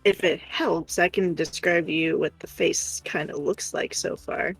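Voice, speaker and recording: A woman's voice: fairly low pitch, fairly even intonation, measured pace, vocal fry, fairly bright tone, slightly rough, no room sound, rough recording. A woman co-hosting in casual chat, supportive but blunt and plain-spoken. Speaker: co-host reading chat comments. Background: music.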